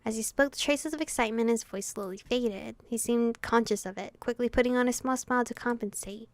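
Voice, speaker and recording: A woman hosting a visual novel let's play, reading aloud and reacting in an expressive, sweary, giggly way. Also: on the high side, brisk, no echo. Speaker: gaming video host. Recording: music playing behind the voice. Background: background pop music.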